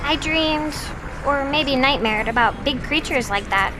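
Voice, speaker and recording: A woman's voice: high-pitched, unhurried, over music, rough recording. A woman playing an innocent, anxious young daughter in an audio drama, speaking with hesitant, childlike phrasing. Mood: wondering, eerie.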